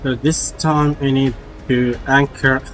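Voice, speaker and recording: A man's voice: medium pitch, flat delivery, takes it slowly, poor audio. A man who streams games, giving casual live reactions in informal asides to the game. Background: game music.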